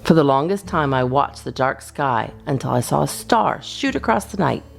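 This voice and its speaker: A woman host giving an expressive, theatrical reading of a children's story. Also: a bass voice, normal speed, creaky.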